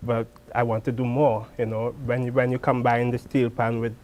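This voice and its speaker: A man from Trinidad and Tobago, a steel pan player answering interview questions in a halting way, restarting and repeating words. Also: medium pitch, flat delivery, over a noisy crowd. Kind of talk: interview guest.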